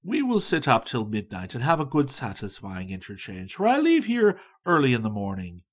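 A man voicing a mild, earnest reverend who speaks in a measured way. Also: medium pitch, wildly swooping pitch, slightly rough. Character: mild, talkative middle-aged male reverend.

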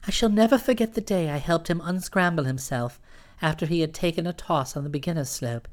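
A woman's voice: low voice, fairly quick, darkish tone, pitched below the performer's own voice, natural voice. A woman voicing a breezy, scheming young woman. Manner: breezy, matter-of-fact, teasing.

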